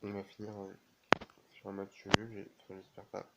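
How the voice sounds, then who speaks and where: Man with a cold, low flat voice. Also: heavy background noise. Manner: halting, many fillers and restarts. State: has a cold, sneezing. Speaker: gaming video creator. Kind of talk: casual game commentary.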